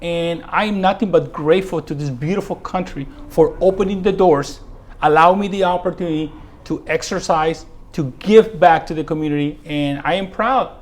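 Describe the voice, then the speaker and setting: A man's voice: a little high, normal speed. A middle-aged man from Mexico, a real estate agent telling his story in a casual interview, earnest and repeating phrases for emphasis.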